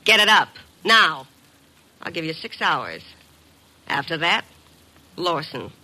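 A woman's voice: low-pitched, sing-song, slow pace, pushed and bright, slight background noise, full character voice. An actress playing a tough, cool female blackmailer with slangy, brassy, insistent talk in an old radio drama. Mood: hard, threatening. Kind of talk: 1950s radio drama acting. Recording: vintage radio broadcast.

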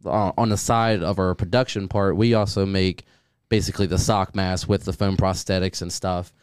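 Man, podcast co-host, throaty low voice, smooth resonant tone, dry close mic, excitable, swears a lot. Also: normal speed, no echo. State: throaty, voice deepened by something in his throat. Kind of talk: casual podcast chat. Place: studio room beside co-host.

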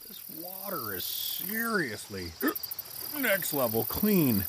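A man's voice: fairly high pitch, wildly swooping pitch, slow pace, noisy background, heavily acted. A man playing an oafish, tipsy, oblivious middle-aged dad in a fantasy comedy audio drama, goofy and rambling. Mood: awed, rambling.

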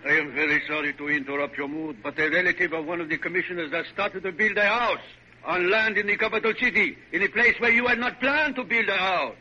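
A man's voice: high-pitched, fast talker, bright, forceful tone. An actor playing an anxious, deferential male assistant, breathless and apologetic, in classic radio drama style. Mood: flustered. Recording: noisy, dull vintage radio recording.

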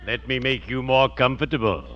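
An actor playing a friendly, morbidly genteel undertaker in old radio comedy, speaking formally and solemnly with drawn-out words and macabre puns. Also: male voice, medium pitch, close to an everyday voice. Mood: genteel, cheerful. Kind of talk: 1940s radio comedy acting. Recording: dull, noisy old radio recording.

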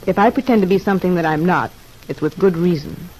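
A woman's voice: lowish voice, fast talker, a little mellow, slightly rough, poor audio. A young woman convict in a vintage radio serial. She is curt and defensive, a restless schemer who snaps easily. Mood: irritated. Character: irritable, scheming young woman prisoner, desperate for parole. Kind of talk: old-time radio drama acting.

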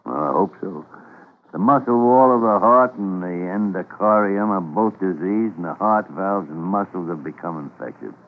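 An American actor playing a gruff, fatherly older senior doctor in a classic radio drama, speaking in a measured, emphatic way. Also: low voice, close to an everyday voice. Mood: grave, clinical. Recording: muffled, hissy old radio recording.

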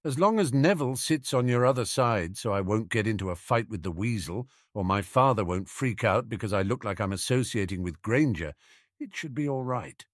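A man's voice: fairly low pitch, expressive, normal speed, dry recording, studio quality, close to an everyday voice. A man voicing a proud, guarded teenage wizard boy in an audiobook story. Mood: grudging.

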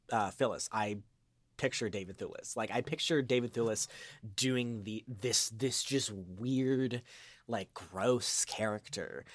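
A man's voice: medium pitch, a bit bright, no echo. A man speaking as a voice actor guest in a casual podcast interview, rambling and often restarting his thoughts.

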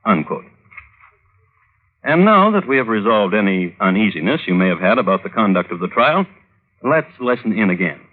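A man giving wry, mock-solemn scripted narration for an old-time radio drama, deliberate in delivery, on a muffled vintage recording. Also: lowish voice, big pitch swings.

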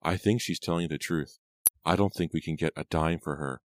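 A man voicing a tense young female kidnapper who is softer than her partner, in an audiobook. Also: a bass voice, moderate pace.

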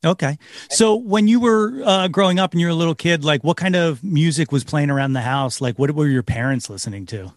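A man hosting a podcast interview, asking questions hesitantly with many fillers and quick affirmations. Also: fairly high pitch, animated, no echo, clean studio sound. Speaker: podcast host. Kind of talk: casual interview.

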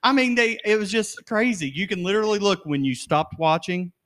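A man's voice: sits high, a little fast, a bit bright. A man co-hosting a casual sports chat, teasing his friend as he tells a story.

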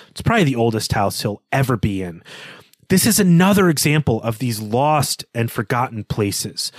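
A man's voice: medium pitch, dry recording. A man speaking analytically and articulately as a podcast co-host, discussing literature in a conversational way.

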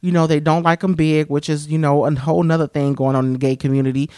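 A man with a high-pitched voice hosting a podcast, talking frankly and expressively with many "you know" fillers. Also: level tone, fast talker, darkish tone, no room sound, studio quality. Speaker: podcast host. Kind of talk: candid solo podcast monologue.

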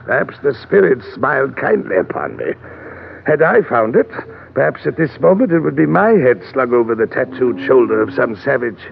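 An actor in an old radio drama playing a fat, pompous, eager gentleman treasure hunter who speaks in flowery, hesitant phrases. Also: medium pitch, very animated, normal speed, vocal fry. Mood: musing, sly. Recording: noisy, tinny old radio recording.

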